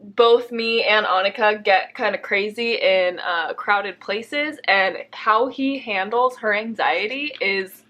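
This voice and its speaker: A young woman with a bright, lively-edged voice talks casually as a book vlogger. She is playful and teasing, and gushes freely in an everyday conversational way. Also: mid-range voice, slow pace. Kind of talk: casual chat.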